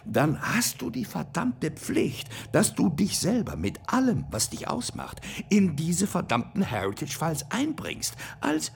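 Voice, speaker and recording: An actor playing a talkative computer persona who argues insistently and lectures. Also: male voice, a little high, unhurried, gravelly, few pauses, slight background noise, full character voice. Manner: animated, insistent, preachy. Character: talkative computer persona, male, pushy and lively.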